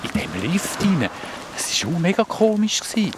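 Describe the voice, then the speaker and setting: An actor playing a naive, unsure schoolboy in a children's audio drama, speaking hesitant, wondering Swiss German. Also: male voice, high voice, very animated, moderate pace, noisy background. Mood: amazed, relieved.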